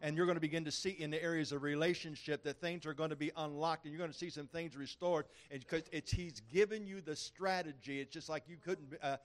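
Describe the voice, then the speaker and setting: A man's voice: fairly high pitch, steady tone. Fervent male preacher. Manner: fervent, building in intensity, with halting restarts. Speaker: preacher giving a prophetic word. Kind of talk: charismatic church preaching.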